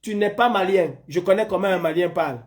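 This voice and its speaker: A man with a somewhat high voice hosts a live stream and takes on a caller in a heated argument. He lectures insistently and says the same point again and again. He comes from Senegal. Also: a little fast. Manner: insistent, lecturing, repeats himself. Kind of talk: heated live call-in debate.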